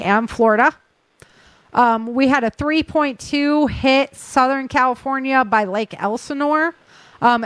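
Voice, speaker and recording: A woman with a slightly high voice hosts a podcast in an informal way. She runs through news as casual chat, addressing her listeners directly, and the sound is fairly dry and close. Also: a bit bright, no echo. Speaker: podcast host.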